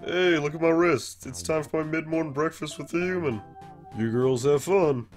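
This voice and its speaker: A man's voice: a little high, normal speed, natural voice. A man voicing a laid-back, wry, protective skeleton older brother in a comic dub, talking in a casual, dry, teasing way. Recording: voice over background music.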